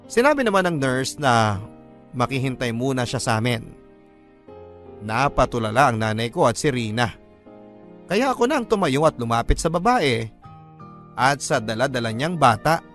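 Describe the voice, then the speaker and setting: A man's voice: mid-range voice, expressive, normal speed, pauses often, fairly bright tone, soft background music. A man reading a scripted first-person story as a radio-style narrator.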